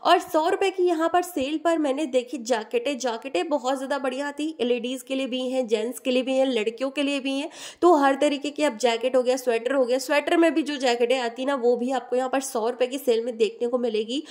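A woman's voice: sits high, fairly quick, darkish tone. A woman vlogging a tour of an outdoor street market, chattily listing prices one after another. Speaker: shopping vlogger. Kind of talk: casual market tour narration.

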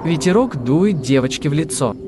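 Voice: mid-range voice, animated, moderate pace, noisy background. A narrator reading scripted audio description for a cartoon. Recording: music under the voice. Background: prominent soundtrack music.

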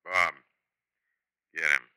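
An actor with an American accent playing a menacing, laconic Old West outlaw leader in a 1950s radio western, unhurried and terse. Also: male voice, a bass voice, steady tone, background noise, heavily acted. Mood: wary, commanding.

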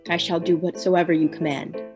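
A woman's voice: on the low side, flat delivery, a little fast, music in the background, natural voice. A woman playing a fervent, crazed, slavishly devoted vampire's servant in a radio play.

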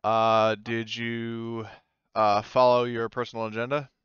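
A man's voice: medium pitch, flat delivery, unhurried, fairly bright tone, clear, resonant tone, no room sound, muffled recording. A man running a tabletop game session as game master, talking casually with the players.

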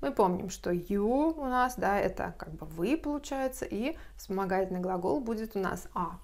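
A woman in her thirties teaching English grammar at a whiteboard, explaining carefully and saying example phrases slowly, recorded on a lapel mic in a bright room. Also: medium pitch, animated.

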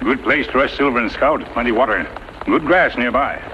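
A man's voice: mid-range voice, a little fast, natural voice. A man playing a stoic, loyal scout in a 1950s radio western, talking in terse, clipped broken English. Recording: dull 1950s radio recording, faint noise.

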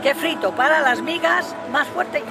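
A woman's voice: sits high, measured pace, creaky. A woman in her seventies from Madrid, with a Madrid accent, chatting casually in an outdoor interview. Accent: Madrid Spanish. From Madrid, Spain. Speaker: cooking contest entrant. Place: outdoor town square. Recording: outdoor camera sound, loud crowd and music.

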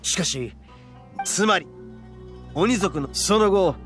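Young horned oni boss, brash, proud, rough-spoken, blunt, raised male pitch, anime game, soft music behind. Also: expressive, slow pace, full character voice. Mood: solemn, explaining. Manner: rough, swaggering, blunt slangy speech.

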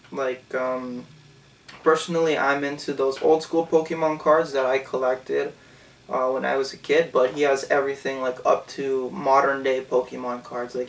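A man's voice: medium pitch, far from the mic, low-quality recording. A young man in his twenties, from Canada, telling a story for his channel in a loose, rambling way, full of "um" and "like". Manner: rambling, lots of "um" and "like". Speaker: channel host. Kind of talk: casual storytelling vlog. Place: small bedroom, indoors.

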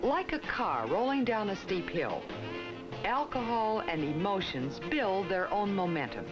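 An American woman reading scripted 1960s educational film narration in a measured, instructive way with emphatic phrasing. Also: mid-range voice, very animated. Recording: dull 1960s film soundtrack with music. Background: prominent music.

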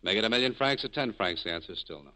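Low, hard-edged cynical saloon boss, terse and wry, 1940s radio drama, dull old recording. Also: male voice, animated, racing, pushed and bright. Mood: guarded, firm. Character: cynical, guarded American male saloon keeper.

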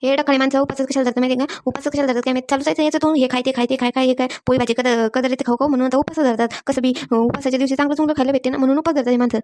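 A woman's voice: sits high, fairly even intonation, darkish tone, no echo, clean studio sound, natural voice. A woman voicing a nagging, exasperated village wife in a cartoon comedy, scolding with a rural Maharashtrian accent. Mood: mocking. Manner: rapid-fire scolding. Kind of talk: amateur cartoon comedy dialogue.

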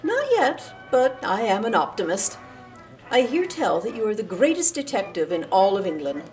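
Woman playing bold American lady, very expressive. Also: mid-range voice, moderate pace, soft background music, natural voice. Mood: bold, flirtatious. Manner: poised, arch, polite. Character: bold, flirtatious American woman, playfully self-assured. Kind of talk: audio theatre acting.

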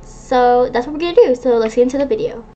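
A woman's voice: sits high, fast, warm tone, highs cut off. A woman giving casual gameplay commentary as a gaming YouTuber. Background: game music.

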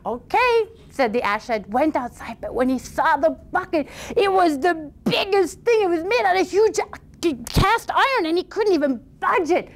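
A woman storyteller telling a fairy tale aloud in an informal, conversational and animated way. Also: extremely high pitch, normal speed.

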